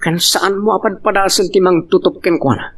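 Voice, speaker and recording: A man's voice: high-pitched, steady tone, normal speed, fairly bright tone, far from the mic. A man with an Ilocano accent playing a hot-tempered cheating husband in a romance audio drama. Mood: agitated, threatening. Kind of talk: Ilocano radio-style drama acting.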